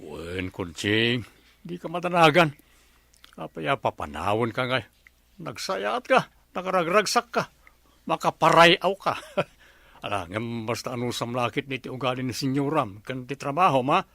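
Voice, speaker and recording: A good-humoured landowner who laughs at his maid's jokes, his gravelly voice bright and full of swings in pitch, as a man plays him. The sound is dry and close. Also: lively intonation, a little slow, dry recording, heavily acted. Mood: reassuring. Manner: laughing, teasing. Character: jovial male landowner, teasing and kindly. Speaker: senyor, employer of the maid. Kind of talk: radio comedy drama.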